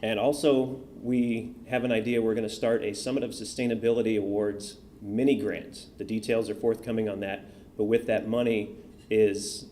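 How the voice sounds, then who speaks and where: Mellow-voiced male event host. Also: lowish voice, level tone, moderate pace, slight background noise. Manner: upbeat, addresses crowd directly. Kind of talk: announcements to audience. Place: event hall.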